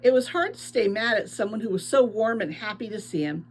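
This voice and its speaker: A woman's voice: medium pitch, animated, a little fast. A woman reading a book aloud expressively as a teacher, adding short explanatory asides.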